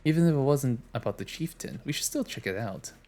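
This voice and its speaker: A man's voice: medium pitch, expressive, brisk, dark tone. Brief: male streamer reading story text aloud, wry and casual, faint background music. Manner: casual, wry, reads lines with offhand jokes. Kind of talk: reading game dialogue aloud on a live stream.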